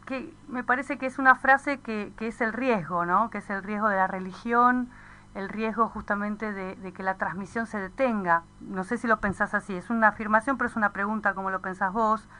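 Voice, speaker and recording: A woman with an Argentine accent talks casually on the radio in a bright, forceful voice. She gropes toward her point and often turns it into a question. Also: medium pitch, normal speed, low-quality recording. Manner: searching, questioning, seeks agreement.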